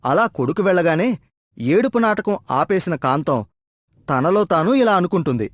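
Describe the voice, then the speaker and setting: Male storyteller, fairly high voice, scripted moral-tale narration over a music bed. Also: lively intonation, normal speed, no room sound, studio quality.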